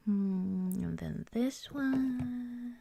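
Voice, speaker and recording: A woman doing an ASMR roleplay as an affectionate girlfriend, chatting casually and hesitantly in a soft, intimate way. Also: on the high side, vocal fry, slight background noise. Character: affectionate, cozy girlfriend baking at Christmas.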